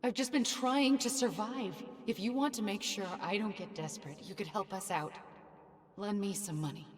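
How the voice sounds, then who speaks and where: Game memory figure woman acting: desperate mother, mid-pitched, defiant, naturalistic, heavy echo and reverb. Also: moderate pace, natural voice. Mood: defiant, bitter. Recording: echoey, long reverb memory effect.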